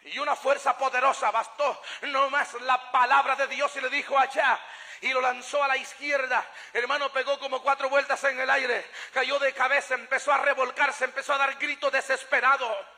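High, piercing male preacher in echoey hall. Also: level tone, normal speed, sharp tone. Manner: emphatic, repeats phrases, acts out dialogue. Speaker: preacher giving a testimony. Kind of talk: fervent sermon testimony, dramatic storytelling. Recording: echoey hall, long reverb.

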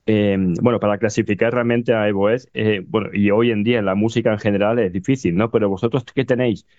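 A man's voice: fairly low pitch, steady tone, fast talker, darkish tone, no room sound, dull audio. A man with an accent from Spain, interviewing casually and a little hesitantly, often adding tag questions. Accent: Spanish from Spain.

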